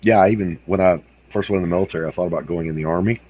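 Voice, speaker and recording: A man's voice: low-pitched, rapid-fire, dull audio, a little hiss or hum. A man from South Carolina with an American accent, chatting casually in a wry, joking way. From Hilton Head Island, South Carolina. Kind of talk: casual chat.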